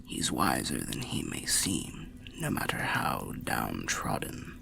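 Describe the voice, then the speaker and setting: Guy doing a weary old undead soul for fantasy asmr, super low creaky half-whisper, kindly, archaic rambling. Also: huge pitch swings, heavily acted. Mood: musing, cautionary. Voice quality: breathy, gravelly half-whisper. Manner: slow, rambling, archaic, gently cautionary storyteller. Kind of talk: ASMR fantasy roleplay monologue.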